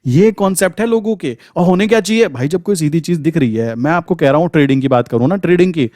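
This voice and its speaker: A man's voice: fairly high pitch, animated, quick pace, dark tone, no echo, studio quality. A man with an Indian accent giving an informal motivational trading lesson, speaking emphatically and colloquially with repeated phrases and rhetorical questions. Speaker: trading coach and channel host.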